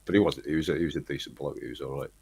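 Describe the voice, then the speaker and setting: A middle-aged man from Manchester, telling long rambling stories from his life in a relaxed chat, with lots of restarts. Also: a bass voice, rapid-fire, vocal fry, breathy edge. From Manchester, England. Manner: rambling storyteller, restarts often, chuckles. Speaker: guest, band singer. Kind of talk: casual interview chat.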